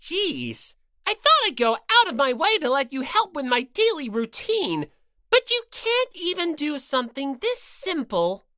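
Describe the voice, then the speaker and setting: Casting: woman as sadistic robot bear mascot for audiobook, bossy, sarcastic, bright forceful delivery with wild pitch swings. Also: very animated, a little slow, no room sound, studio quality, exaggerated voice. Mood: scornful, bossy. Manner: theatrical, taunting, mock-polite then bellowing. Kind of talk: audiobook character voice.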